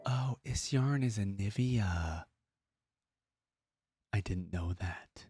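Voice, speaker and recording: A man's voice: lowish voice, takes it slowly, darkish tone, faint music behind. A man hosting a reaction video, reading aloud and adding informal, conversational comments.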